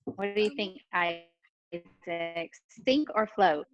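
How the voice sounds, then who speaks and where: A woman's voice: fairly low pitch, steady tone, slow, dry recording, highs cut off. A woman teaching pre-kindergarten children interactively, asking questions and repeating their answers in an encouraging way. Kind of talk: interactive lesson for young children.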